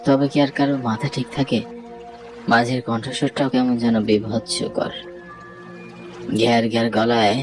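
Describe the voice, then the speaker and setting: A man's voice: mid-range voice, close to an everyday voice, rough recording. An actor voicing an anxious young man who is scared of ghosts, telling a horror cartoon story in the first person. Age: young adult.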